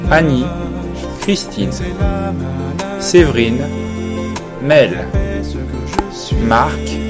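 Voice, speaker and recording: Guy doing voice-over announcing, super slow and deliberate, music clearly behind. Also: mid-range voice. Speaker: narrator reading credits. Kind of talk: scripted voice-over.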